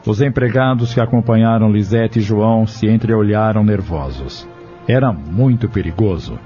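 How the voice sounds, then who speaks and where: A man narrating a scripted audio drama in a measured, dramatic storytelling manner. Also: lowish voice, warm tone. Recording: dull lo-fi audio with music underneath.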